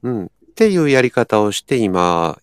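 A man with a Kansai accent chatting casually, thinking aloud in a halting way. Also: lowish voice, big pitch swings, clear, resonant tone, dry recording, studio quality. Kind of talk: casual chat.

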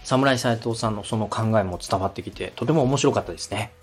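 A man hosting a podcast, politely and methodically giving encouraging production advice in a slightly husky voice. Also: fairly low pitch, fairly quick, faint music behind. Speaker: podcast host and radio director giving feedback.

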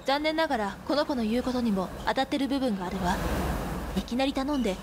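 A woman's voice: sits high, quick pace, lightly acted. Woman as a composed schoolgirl, noisy background. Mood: cool, critical. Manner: composed, feminine phrasing. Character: cool-headed, measured high-school girl. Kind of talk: Japanese anime-style radio drama acting.

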